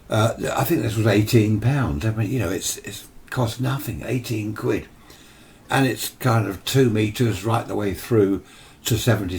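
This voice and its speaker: A man's voice: on the low side, breathy edge. A British man giving a casual equipment demonstration, matter-of-fact and rambling, often asking himself questions as he goes. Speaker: amateur radio hobbyist presenter. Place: indoor room with radio gear. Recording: steady background noise.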